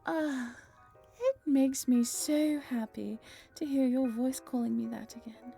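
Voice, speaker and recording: Casting: woman to play possessive vampire lady for audio drama, sugary and theatrical, high lilting delivery with some creak. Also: fairly even intonation, slow, mellow, faint music behind, heavily acted. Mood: gushing, airy. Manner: saccharine, theatrical, self-pitying. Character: genteel, possessive female vampire mistress with human pets. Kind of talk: scripted roleplay audio drama.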